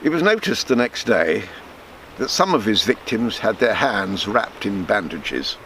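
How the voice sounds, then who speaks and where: Guy reading his own story out loud, voice swoops up and down, bit of background noise, trips on the odd word. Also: lowish voice, big pitch swings, unhurried, low-quality recording. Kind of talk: scripted storytelling narration, reading aloud.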